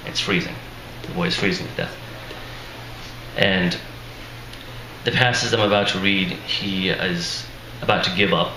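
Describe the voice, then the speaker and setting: A man's voice: deep voice, fairly even intonation, unhurried, pushed and bright. A man, an author at a live reading, introducing his book in a casual, self-deprecating way with chuckling asides and a voice that sounds worn out. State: strained, tired voice. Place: live reading venue with audience. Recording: echoey room, noisy ambience.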